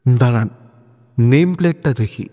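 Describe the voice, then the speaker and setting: A man with a West Bengal accent narrating a scripted love story, expressive and conversational, speaking straight to listeners. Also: medium pitch, moderate pace. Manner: expressive, conversational, with rhetorical questions. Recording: long reverb with music behind.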